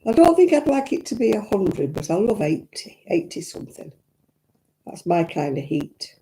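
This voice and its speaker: An older woman with a croaky voice, chatting and rambling to viewers while she knits on a live stream. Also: fairly low pitch, big pitch swings, normal speed, warm tone. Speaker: crafting livestream host. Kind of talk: casual chat to live viewers. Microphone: own simple mic, live stream. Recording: crackly audio.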